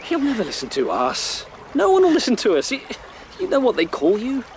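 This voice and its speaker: A man's voice: very high-pitched, wildly swooping pitch, a little fast, slight background noise, exaggerated voice. A man playing a protective, sensible young male rabbit in a radio drama, reassuring yet sceptical with his younger brother. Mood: exasperated, protective.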